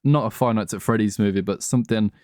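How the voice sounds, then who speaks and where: A man's voice: lowish voice, fast talker, a little mellow, no room sound, studio quality. A man co-hosting a podcast in casual, slangy, sweary conversation about films. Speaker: podcast co-host. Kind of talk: casual chat, film discussion.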